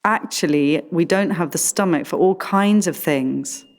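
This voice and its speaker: A woman playing a whimsical, musing character in a radio play, with deliberate, drawn-out phrasing. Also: lowish voice, natural voice. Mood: questioning. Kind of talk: slow, stylised art radio play delivery. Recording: long reverb, music behind the voice.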